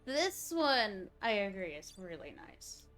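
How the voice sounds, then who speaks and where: A woman's voice: mid-range voice, big pitch swings, takes it slowly, fairly bright tone, soft background music. A woman livestreaming a game, chatting casually and thinking aloud as she reacts to what is on screen. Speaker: game streamer.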